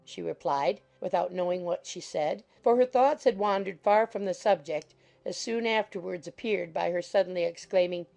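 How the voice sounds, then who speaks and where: A woman's voice: lowish voice, normal speed, creaky, few pauses, a little mellow, faint music behind. A woman narrating a classic novel in a scripted, literary storytelling style. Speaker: audiobook narrator.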